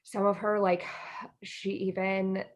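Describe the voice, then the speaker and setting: A woman's voice: medium pitch, flat delivery, takes it slowly. A young American woman co-hosting a podcast in casual chat, informal and self-deprecating. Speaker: podcast co-host.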